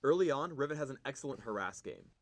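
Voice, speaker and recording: A man's voice: medium pitch, lively intonation, fast talker. A man presenting a game spotlight in scripted, instructional tutorial narration. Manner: instructional, explanatory.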